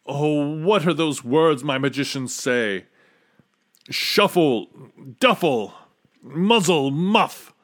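A man's voice: a little high, expressive, takes it slowly, voice pushed up. A man voicing a proud, blustering but helpless old storybook king, with a pompous, groaning and bellowing delivery. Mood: groaning, desperate. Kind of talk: storybook character voice.

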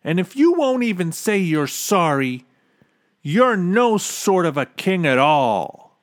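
Man putting on a bold, high-pitched young boy. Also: sing-song, takes it slowly, no echo, clean studio sound, full character voice. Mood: firm, scolding. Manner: earnest, outspoken, shouting. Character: plain-spoken, bold boy scolding a king. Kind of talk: storybook character voice.

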